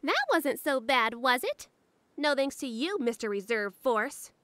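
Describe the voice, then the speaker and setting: A woman voicing a cocky, teasing, self-assured young woman in an animated webcomic dub. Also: sits high, measured pace, dry recording, exaggerated voice. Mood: smug, teasing. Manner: playful, smug, sing-song teasing.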